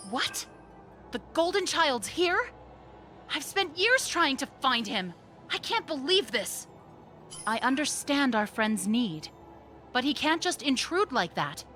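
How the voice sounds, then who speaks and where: A woman's voice: high-pitched, very animated, slow pace, pauses often, bright, forceful tone, soft background music, exaggerated voice. An actress playing a poised, commanding young royal woman in a fairy-tale video game, earnest and formal. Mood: surprised, resolute. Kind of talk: dramatic video game acting.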